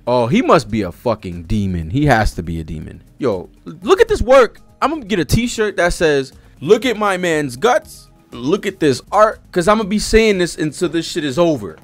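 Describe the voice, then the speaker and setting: Animated slangy man reacting on stream. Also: on the high side, moderate pace, dry recording, soft background music. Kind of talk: casual live reaction commentary, reading panels aloud. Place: small indoor streaming setup. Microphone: close desk-arm microphone.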